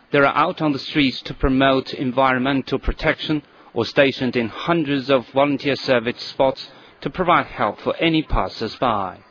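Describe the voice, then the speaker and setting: A man narrating a television news report in a measured, even scripted delivery. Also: mid-range voice. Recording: dull lo-fi broadcast audio with crowd and street noise.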